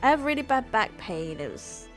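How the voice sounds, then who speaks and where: A woman's voice: a little high, huge pitch swings, moderate pace, soft background music. A woman livestreamer chatting casually in a hesitant, rambling way, often repeating and rephrasing herself. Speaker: livestreamer and gamer.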